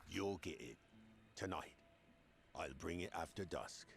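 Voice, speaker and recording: An actor playing a remorseful, earnest and grateful blacksmith in a video game, speaking in scripted dialogue. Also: male voice, sits low, lively intonation, measured pace, gravelly, faint music behind, exaggerated voice. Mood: grateful, relieved.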